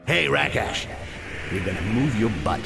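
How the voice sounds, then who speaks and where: An actor playing a cynical goblin thief in a video game, with a gravelly voice and a dry, sardonic manner. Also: male voice, medium pitch, expressive, normal speed, over music. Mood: mocking, smug.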